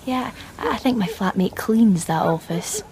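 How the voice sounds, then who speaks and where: A woman's voice: medium pitch, moderate pace, crowd noise, lightly acted. An actress playing a shy, wry, unemployed young woman graduate in a radio play, hesitant aloud and confiding in her inner monologue. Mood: awkwardly bright. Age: young adult.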